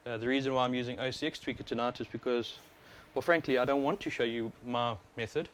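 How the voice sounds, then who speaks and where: A man's voice: medium pitch, fast talker. South African man streaming over background noise. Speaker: livestream host, overclocker. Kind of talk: casual live stream commentary. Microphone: wireless worn microphone. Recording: clear steady background noise.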